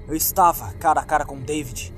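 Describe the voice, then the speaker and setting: Male horror narrator, Brazilian accent, higher-pitched voice, suspenseful dramatic delivery, music underneath. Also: a little fast, fairly bright tone. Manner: suspenseful, acts out the lines.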